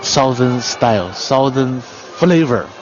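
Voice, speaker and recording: Halting male expert commentator, dull broadcast sound. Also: mid-range voice, lively intonation. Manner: halting, explanatory non-native English. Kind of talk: technical martial arts commentary. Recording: muffled broadcast audio, music behind. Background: music in a venue.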